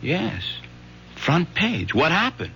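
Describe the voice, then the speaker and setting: An American actor playing an evasive, loyal teenage son in an old radio drama, hesitant and defensive. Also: male voice, high voice, wildly swooping pitch, takes it slowly, sharp tone, slight background noise, heavily acted, rough recording. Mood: uneasy.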